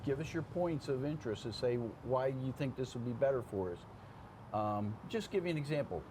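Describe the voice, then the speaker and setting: A man's voice: mid-range voice, animated, moderate pace. An older man, a veteran fire officer, chatting casually as an interview guest in a plainspoken way, often checking in with the listener. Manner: plainspoken, checks in with "okay?" and "you know". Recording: clear background room noise.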